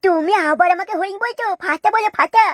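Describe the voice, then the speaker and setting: Comic cartoon kid: female actor playing a money-grubbing boy, high and dry-sounding, pert. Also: fairly quick, no room sound. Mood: pert questioning. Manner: pert, haggling. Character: cheeky, money-minded young boy hired as impostor.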